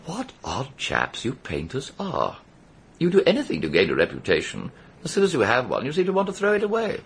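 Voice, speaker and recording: An actor playing a witty, cynical middle-aged aristocrat with an upper-class English accent, arch and theatrical in a radio drama. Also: male voice, mid-range voice, wildly swooping pitch, a little fast, vocal fry, breathy edge, heavily acted. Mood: amused disdain. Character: witty, cynical English aristocrat, worldly and languid, recalling the past. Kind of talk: radio drama acting and first-person narration.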